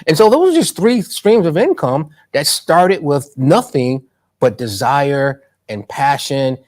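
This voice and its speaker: A man's voice: on the high side, expressive, slow, a little mellow. A man speaking as a guest in an interview about money, talking in an emphatic, motivational way with a preacher-like cadence and rhetorical questions.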